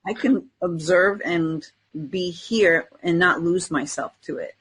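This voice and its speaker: A woman co-hosting a podcast in casual conversation, speaking in a tentative, reflective and halting way. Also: lowish voice, breathy edge. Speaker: podcast co-host. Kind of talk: casual spiritual chat.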